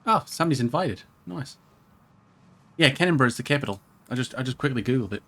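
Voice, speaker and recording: A man with a mid-pitched voice talks casually over a co-op game. He rambles, jokes around and swears freely, like a streamer chatting with a friend. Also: expressive, fast, bright, forceful tone. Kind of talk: casual co-op let's play chat.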